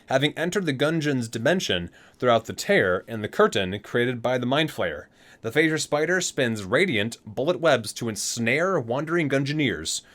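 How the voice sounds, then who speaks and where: Man reading aloud with swooping pitch. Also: sing-song, moderate pace, fairly bright tone. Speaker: host of a gaming ASMR unboxing video. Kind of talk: ASMR reading aloud with casual asides.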